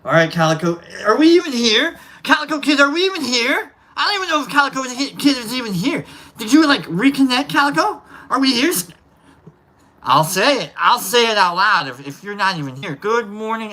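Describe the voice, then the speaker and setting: Bright, nasal live stream host chatting. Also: medium pitch, a little hiss or hum, poor audio. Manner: rambling, chatty, repeats greetings to chat. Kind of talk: casual live stream chat, greeting viewers. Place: outdoor lounge by a fire pit.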